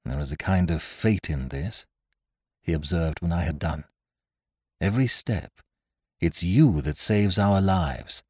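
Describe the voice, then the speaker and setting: A man's voice: low voice, measured pace, long pauses, husky, no room sound, clean studio sound. A man voicing a grave, sad old doctor. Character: stern, kindly older male doctor.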